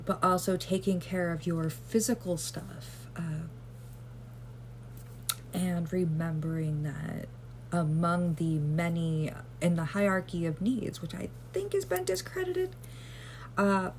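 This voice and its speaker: A woman vlogger rambling gently through casual self-care advice, with lots of fillers and self-deprecating asides. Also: lowish voice, slow, long pauses, a little hiss or hum.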